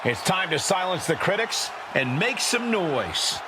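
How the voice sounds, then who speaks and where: A man's voice: high-pitched, sing-song. A man playing a grandiose wrestling announcer hyping a match in a video game. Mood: dramatic hype. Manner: dramatic, drawn-out. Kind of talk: sports commentary in a video game. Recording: loud crowd roar, heavy arena noise.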